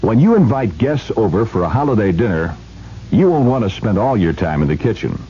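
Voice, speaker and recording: A man's voice: fairly low pitch, sing-song, brisk, over music. A man reading a scripted 1970s radio commercial with an informative sales delivery. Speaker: commercial spokesman. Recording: muffled, hissy 1970s radio ad.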